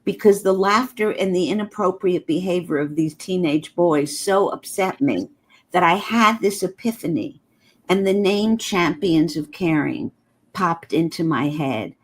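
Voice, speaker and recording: A woman's voice: fairly low pitch, a little slow, husky. An older woman whose first language is German, telling a personal story as a guest with earnest, heartfelt feeling.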